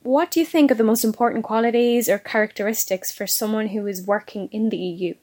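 A woman's voice: medium pitch, steady tone, fast, a little mellow. A woman hosting an interview series, reading out scripted questions in a friendly, plain way. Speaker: interview host.

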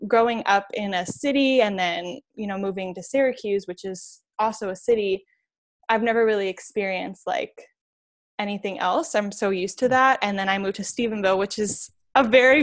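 A woman in her twenties from New York, answering interview questions in a chatty, enthusiastic way as a podcast guest. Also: mid-range voice. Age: 20s.

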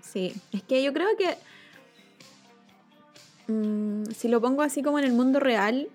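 Female co-host, Chilean accent, high pitch, tentative conversational delivery over music. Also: a little slow, darkish tone. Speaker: co-host of a talk show. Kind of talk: casual chat.

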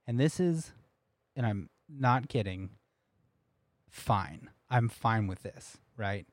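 A man's voice: lowish voice, animated, slow pace, no echo. A young man co-hosting a podcast, telling a story in a rambling, laughing way with lots of restarts. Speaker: podcast co-host. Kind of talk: casual storytelling chat.

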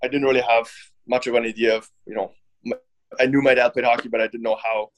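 A man answering interview questions in a relaxed, hesitant way, with many fillers and restarts; a professional hockey player born in Finland. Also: medium pitch, quick pace, low-quality recording. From born in Finland, German citizen.

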